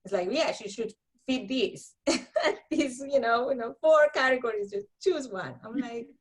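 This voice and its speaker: A woman from Honduras with a Honduran Spanish accent, telling her story in a lively conversational interview, laughing often and repeating words when worked up. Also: on the high side, a little slow. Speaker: guest counselor.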